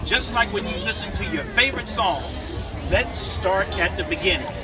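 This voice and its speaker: A man's voice: sits high, expressive, moderate pace. A man telling a story aloud to children, informal and interactive, often checking in with his young listeners. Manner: informal, checks in with the audience, invites responses. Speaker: children's storyteller at a book festival. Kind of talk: animated read-aloud to kids, with asides and call-outs. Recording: distant mic, loud music and crowd noise. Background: music and a noisy crowd.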